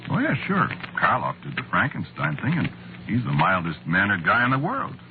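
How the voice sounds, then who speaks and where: Noisy old radio drama, sardonic put-upon American writer, lively swooping intonation, halting conversational delivery. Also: male voice, fairly low pitch, phone-quality sound. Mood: wry, confiding. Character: wry, put-upon male Hollywood horror screenwriter. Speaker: narrator and lead actor. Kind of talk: 1940s radio acting, conversational first-person narration.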